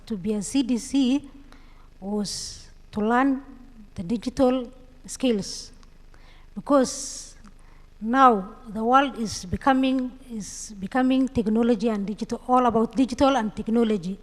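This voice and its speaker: High-voiced Kenyan woman, echoing hall. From Mandera, Kenya. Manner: halting, repeats words. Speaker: panelist, community digital trainer. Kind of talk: unscripted panel testimony. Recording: echoey hall with long reverb.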